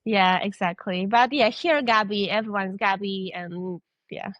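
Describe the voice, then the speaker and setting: A woman's voice: mid-range voice, measured pace, a bit bright, dry recording, dull audio. A young woman with a Polish accent, a guest in a casual interview, hesitant and searching for words in English. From Poland. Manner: hesitant, searching for words, frequent restarts and light laughs. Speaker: podcast guest, triathlete.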